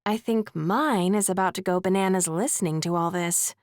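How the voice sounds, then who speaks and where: A woman's voice: fairly low pitch, moderate pace, dry recording, studio quality, natural voice. A female actor playing a worried, skeptical woman in an amateur theater crew, in a full-cast audiobook. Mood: skeptical, dry. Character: anxious, fretting female community theater schemer.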